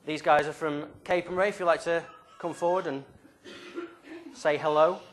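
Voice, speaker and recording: A man's voice: fairly high pitch, fairly even intonation, moderate pace, a little hiss or hum. A young man leading a youth group in a small church hall, speaking into a handheld mic in a playful, joking, game-show manner. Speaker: youth leader. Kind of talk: lively talk to a youth group. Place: small church hall with stage and drum kit.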